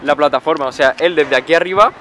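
A man in his twenties chatting casually to camera while travelling, filming at arm's length outdoors and talking in a quick, chatty way. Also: fairly high pitch, a bit bright, a little hiss or hum. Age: 20s. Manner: chatty, rapid, filler-heavy. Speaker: travel vlogger. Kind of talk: casual travel vlog narration. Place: outdoors on a bridge, city streets and coastal trail. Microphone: handheld camera at arm's length.

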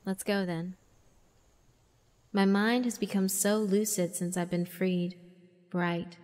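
A woman voicing a gentle, ancient female fiend in a visual novel, wistful and weary, with a slow, solemn delivery. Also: medium pitch, fairly even intonation, close to an everyday voice. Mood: wondering, happy. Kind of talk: dramatic visual novel narration.

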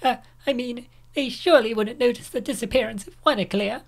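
A woman's voice: on the high side, a little fast, creaky. A woman voicing a young woman model who wavers and talks herself into eating. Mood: tempted.

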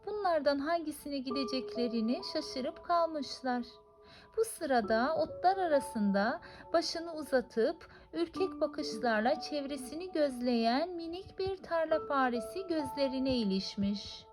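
A woman narrating a scripted fairy tale for children as a storyteller, with music behind her voice. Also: high voice. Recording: music under the voice. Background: clearly audible music.

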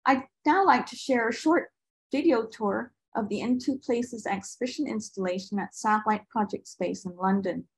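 An older woman from Barbados reading a prepared presentation in a measured, formal manner on a video call. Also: on the high side, slightly rough, low-quality recording. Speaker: artist and panel presenter. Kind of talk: prepared presentation read aloud. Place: indoor room with bookshelves, on a video call. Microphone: video call microphone.

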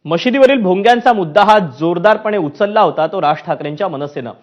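A man's voice: high-pitched, animated, fairly quick. A man presenting scripted news in an emphatic, formal delivery. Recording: muffled broadcast audio with music bed. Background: news music bed.

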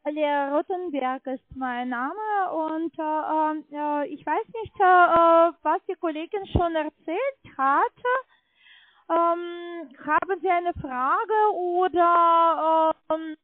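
A woman's voice: very high-pitched, slow, no room sound. A woman call centre agent surveying a customer, chatty and questioning, with non-native phrasing. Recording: tinny narrowband phone line.

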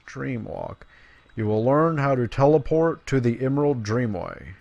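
A man's voice: mid-range voice, big pitch swings, a little slow, creaky, darkish tone, lo-fi sound. A man giving casual, playful commentary as he plays a game, often muttering to himself. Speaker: gamer.

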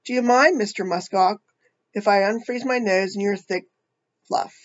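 A woman's voice: mid-range voice, moderate pace, lo-fi sound, lightly acted. A woman reading the lines of a cold female arctic fox who coaxes politely.

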